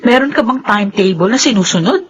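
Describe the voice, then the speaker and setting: Young Filipino woman, defiant, vengeful, husky, very animated radio drama delivery. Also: a little high, quick pace, dull audio. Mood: annoyed.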